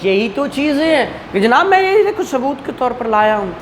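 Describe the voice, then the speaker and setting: A woman's voice: high voice, very animated, normal speed, a little hiss or hum. A woman with a Pakistani accent lecturing a class on law, conversational, asking questions as she explains and switching into English terms. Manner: conversational, frequent rhetorical questions and acted-out dialogue.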